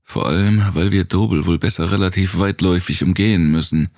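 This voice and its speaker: A man's voice: deep voice, brisk, gravelly, a little mellow, dry recording, studio quality, voice pushed up. A man voicing a tough, wary woman survivor with a blunt, explanatory manner in an audiobook. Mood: considering.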